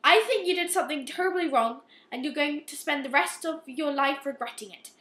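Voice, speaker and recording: A high, bright-voiced, relentless police inspector played by a woman in an amateur radio play. The questions are measured and morally accusing, and the room echoes around them. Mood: stern, probing. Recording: roomy, echoing amateur recording.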